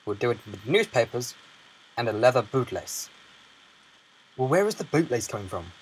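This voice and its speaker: A man's voice: medium pitch, sing-song, moderate pace, a little hiss or hum, natural voice, rough recording. A man playing stern, practical railway workers in a children's storybook, brusque and matter-of-fact. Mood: practical, gruff. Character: stern practical railwaymen, guard and engine driver. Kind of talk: children's audio storybook character acting.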